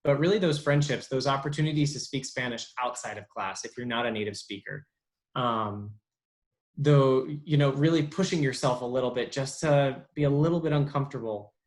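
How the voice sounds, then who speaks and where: A young adult man as an interview guest, talking casually with many pauses and restarts. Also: medium pitch. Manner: halting, lots of ums and restarts. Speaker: interview guest, bilingual speech therapist.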